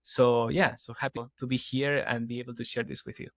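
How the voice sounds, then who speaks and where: A man's voice: mid-range voice, level tone, fairly quick, gravelly, no room sound, studio quality. Man. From Chile. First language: Spanish. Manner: earnest, explaining at length with restarts and fillers. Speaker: guest, startup CEO. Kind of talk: casual podcast interview.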